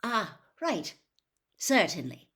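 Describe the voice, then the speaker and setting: A woman's voice: medium pitch, sing-song, takes it slowly, a bit bright. A polite middle-aged wizard dad from a storybook, as a woman reader plays him. He is eager and cheerful but easily puzzled, with a nervous, flustered manner. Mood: bright, eager.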